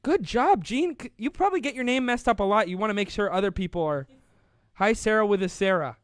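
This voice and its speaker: A man's voice: sits high, expressive, brisk, no echo, clean studio sound. A young man hosting a casual live online show, rambling and playful, laughing often as he reads out viewer comments. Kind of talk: casual live-stream chat.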